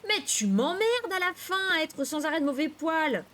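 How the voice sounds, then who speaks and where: A woman's voice: mid-range voice, sing-song, moderate pace, fairly bright tone. A woman voicing a hot-tempered, indignant boy from a storybook, blustering at his sister. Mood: exasperated. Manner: indignant, blustering, detaching syllables when angry.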